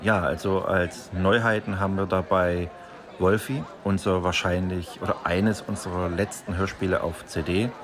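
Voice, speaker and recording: Deep-voiced man over crowd chatter. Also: fairly even intonation, normal speed. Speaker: audio drama publisher, interviewee. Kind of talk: orderly interview statement. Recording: crowd chatter at busy event.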